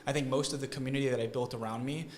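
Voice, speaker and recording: A man's voice: mid-range voice, fairly even intonation, rapid-fire. American guy being interviewed, medium voice, lots of um and right?, bit of hiss behind. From United States. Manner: thoughtful, frequent fillers and "right?" tags. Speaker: guest. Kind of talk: conversational interview.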